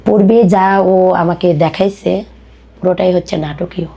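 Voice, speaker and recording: Husky, bright-voiced Bangladeshi woman. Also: on the low side, fairly even intonation, moderate pace. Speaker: podcast guest. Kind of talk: personal life story told in conversation.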